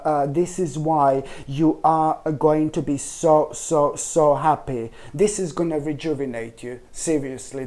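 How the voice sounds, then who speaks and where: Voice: mid-range voice, dark tone. A tarot reader giving a casual reading to camera, chatty and rambling, often trailing off and restarting sentences and calling the viewer by a pet name.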